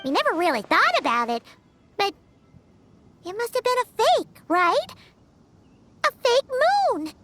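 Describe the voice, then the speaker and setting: A woman voicing a tiny, excitable, childlike fairy companion in a video game, squeaky and exclamatory. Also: a little slow, long pauses, faint music behind, heavily acted. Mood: puzzled. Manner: squeaky, exclamatory, refers to herself by name. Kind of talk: video game dialogue acting.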